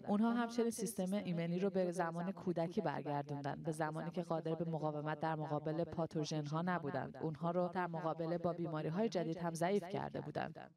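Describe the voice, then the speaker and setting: A woman with a fairly low voice and an Iranian Persian accent reads a dubbed translation for a news report. Other voices are clearly audible behind her scripted delivery. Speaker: voice-over translator.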